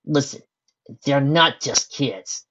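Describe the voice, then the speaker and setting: A man's voice: mid-range voice, animated, moderate pace, fairly bright tone, slightly rough. Man putting on a blunt sarcastic pony mare. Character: bossy tough female pony guardian. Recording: dull lo-fi recording.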